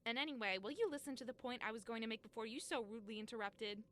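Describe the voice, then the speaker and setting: A woman's voice: on the high side, very fast, a bit bright, slight background noise, natural voice. A woman playing a bubbly, vain floating soul in an audio comedy drama, chatty, sassy and melodramatic.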